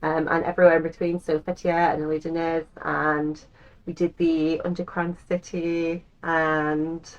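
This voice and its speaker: A woman's voice: low-pitched, little pitch movement, measured pace, muffled recording. A woman in her thirties with an English accent, chatting to camera as the host of her own channel, rambling a little with frequent ums. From England. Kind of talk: casual talk to camera.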